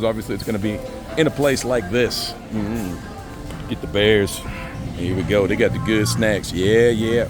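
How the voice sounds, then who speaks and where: Slightly hoarse laid-back American man. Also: medium pitch. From United States. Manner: laid-back, slangy, chatty. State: losing his voice, a bit hoarse. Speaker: travel vlogger. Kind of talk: casual vlog talk while driving and shopping. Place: in a car and a mall outdoors, city traffic. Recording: street and traffic noise behind.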